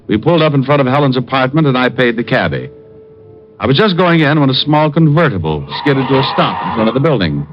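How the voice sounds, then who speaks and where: A man acting a cool, wisecracking detective in a vintage radio drama. He speaks in a mid-pitched voice with dry, hardboiled wit. Faint music runs under a dull old recording. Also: very animated, fast talker, lightly acted. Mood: wry narrating. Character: wisecracking, cool male private detective narrating his case. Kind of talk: 1940s-50s radio drama narration and acting.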